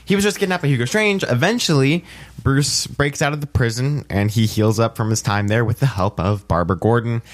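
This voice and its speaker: A man co-hosting a podcast, giving a casual recap in a halting way and correcting his own wording. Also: medium pitch. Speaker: podcast co-host.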